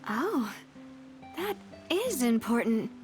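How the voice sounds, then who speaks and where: Bright young lady boss, high-pitched. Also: takes it slowly. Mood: thoughtful. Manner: breezy, expressive, gracious. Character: spirited, confident young female gang boss. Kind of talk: video game voice acting. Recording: background music under the voice.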